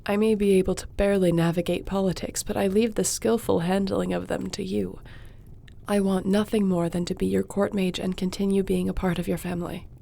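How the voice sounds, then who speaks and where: A woman's voice: mid-range voice, flat delivery, brisk, vocal fry, warm tone. A woman acting as a loyal, affectionate court sorceress in a fantasy audio roleplay, with a heartfelt delivery. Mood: firm, sincere.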